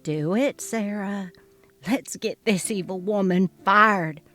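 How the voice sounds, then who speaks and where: A woman's voice: mid-range voice, slow, fairly bright tone, soft background music. A woman voicing a sassy, emphatic female coworker who loves gossip, in a dramatic story reading. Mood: indignant, scornful.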